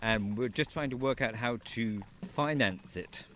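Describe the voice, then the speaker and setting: A man's voice: mid-range voice, a little slow, fairly bright tone. A man who grew up in Wales, talking about his farm in an easygoing way with dry humour during an outdoor interview. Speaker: interviewee, farmer and beekeeper. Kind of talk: relaxed outdoor interview chat. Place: outdoors on a farm, in a horse-drawn carriage. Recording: faint outdoor noise, geese nearby.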